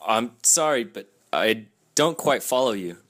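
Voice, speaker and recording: A man's voice: medium pitch, lively intonation, measured pace, a bit bright. A young man playing a polite young man who stammers when caught off guard, in a student radio play. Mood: flustered. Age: young adult.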